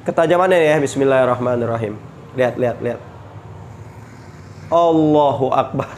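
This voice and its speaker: A man's voice: medium pitch, moderate pace, a little mellow, slight background noise. A man with a stuffy nose from a cold, chatting upbeat as he shows off knives for sale. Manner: chatty, upbeat selling patter with pious exclamations. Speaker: knife seller. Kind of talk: casual product showcase.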